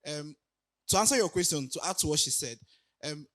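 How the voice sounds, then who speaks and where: A young man speaks at a conference, his voice raspy as he recovers from illness. He sits a little higher than most men and stops often to hesitate or restart a thought. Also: lively intonation, a little fast, fairly bright tone. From Nigeria. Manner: hesitant, many ums and restarts, laughs lightly. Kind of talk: casual conference talk. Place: conference hall.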